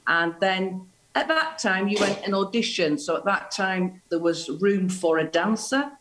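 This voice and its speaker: Brief: middle-aged woman, show guest, chatty storyteller, mid-pitched voice. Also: expressive, a little slow, noisy background. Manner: chatty, reminiscing. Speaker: guest, former dancer. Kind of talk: casual chat.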